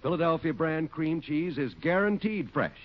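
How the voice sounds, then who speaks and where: A man's voice: fairly high pitch, animated, moderate pace. A man with an American accent reading as a 1940s radio announcer, in an enthusiastic, polished selling style. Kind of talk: 1940s radio advertising read. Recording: muffled 1940s radio recording.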